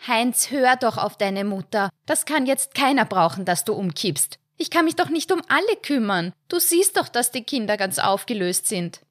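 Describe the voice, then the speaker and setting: Woman doing a shrill, high, loud wife who scolds her husband. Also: lively intonation, normal speed, hardly any pauses, no room sound, studio quality. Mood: shrill scolding.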